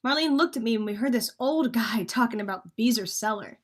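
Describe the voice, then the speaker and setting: A woman's voice: fairly high pitch, animated, brisk. A woman playing a cocky, bossy crook who narrates and schemes in slangy hardboiled style in a radio play. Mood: narrating coolly. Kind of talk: vintage radio drama acting.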